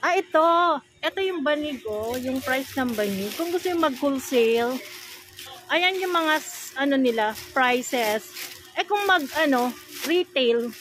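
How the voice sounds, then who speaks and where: A woman's voice: high voice, animated, measured pace, fairly bright tone. A Filipino-accented woman doing a casual shopping vlog, asking a vendor questions and repeating prices for viewers. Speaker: vlogger interviewing a market vendor. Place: indoor shopping mall stall. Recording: noisy busy market stall.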